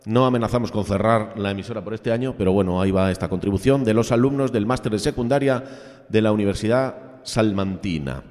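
A man's voice: lowish voice, brisk, a little mellow. A middle-aged man with a Spanish accent from Spain hosting an informal radio interview in a genial, slightly ceremonious way. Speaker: host of a school radio programme. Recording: long hall-like reverb.

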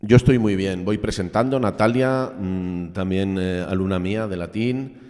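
A middle-aged man with a low voice hosts a casual radio interview in a Castilian Spanish accent. He sounds genial and a little ceremonious, and the room rings with long reverb. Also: normal speed, a little mellow. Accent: Spanish from Spain. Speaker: host of a school radio programme.

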